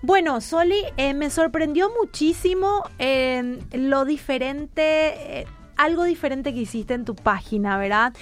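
A woman's voice: high voice, unhurried, soft background music. A woman hosting a radio interview, asking questions in an effusive, welcoming way. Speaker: radio host.

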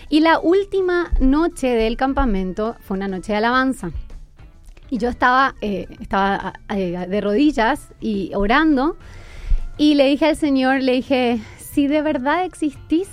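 A woman's voice: on the high side, lively intonation, unhurried, soft background music. A young woman with an Argentine accent in her twenties, telling her story earnestly as a guest in a radio interview. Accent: Argentine Spanish. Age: 20s. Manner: earnest, personal storytelling with fillers. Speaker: guest musician. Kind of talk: casual interview.

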